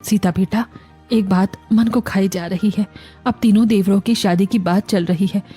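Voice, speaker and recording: A woman's voice: mid-range voice, steady tone, moderate pace, mellow, husky, faint music behind, lightly acted. A woman playing an elderly mother-in-law in a cartoon family story, tender, affectionate and fretful. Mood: worried.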